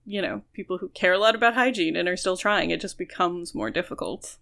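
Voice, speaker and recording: A woman's voice: fairly low pitch, a little fast, creaky, fairly bright tone. A woman co-hosting a podcast, chatting about a book in a frank, informal way. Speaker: podcast co-host. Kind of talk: casual book discussion.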